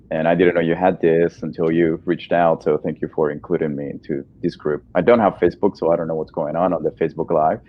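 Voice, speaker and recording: Very deep-voiced man in his thirties. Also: phone-quality sound. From El Salvador. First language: Spanish. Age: 30s. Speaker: guest, breast cancer surgeon. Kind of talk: casual conversational interview answers.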